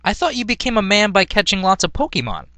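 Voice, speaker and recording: Man acting out a flustered, high-pitched naive teenage boy. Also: big pitch swings, fast talker, sharp tone, no room sound, exaggerated voice. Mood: naive, curious. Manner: earnest, questioning, flustered.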